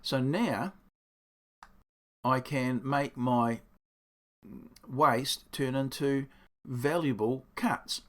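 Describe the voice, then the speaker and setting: Croaky man explaining in his workshop. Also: mid-range voice, extremely slow, pauses often. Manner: rambling, self-correcting, explains step by step. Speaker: woodworking channel host. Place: home workshop.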